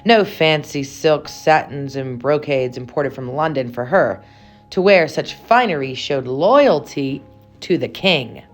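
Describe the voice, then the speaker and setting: A woman's voice: deep voice, lively intonation, measured pace. A woman puts on a young patriot seamstress from a colonial storybook. The girl is proud and dutiful, with earnest, old-fashioned speech. Light music plays behind her. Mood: scornful, proud.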